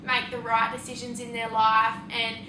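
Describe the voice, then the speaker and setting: High-voiced Australian teen girl, distant mic. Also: completely flat delivery, normal speed. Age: late teens. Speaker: student panellist. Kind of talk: casual personal answers. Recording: echoey noisy room, distant mic.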